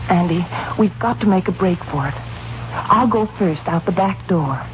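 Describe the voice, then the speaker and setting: A woman's voice: fairly low pitch, normal speed, lightly acted. An American woman acting a plucky, earnest young wife in a 1940s radio drama, on a dull, noisy recording. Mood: urgent, resolute. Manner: earnest, resolute. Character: plucky, enterprising young wife, amateur sleuth.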